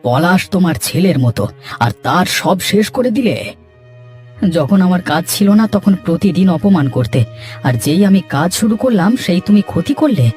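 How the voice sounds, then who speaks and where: A man's voice: sits high, big pitch swings, moderate pace, darkish tone, faint music behind. A man voicing an aggrieved villager in a melodramatic cartoon moral tale, emphatic and lamenting. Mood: indignant.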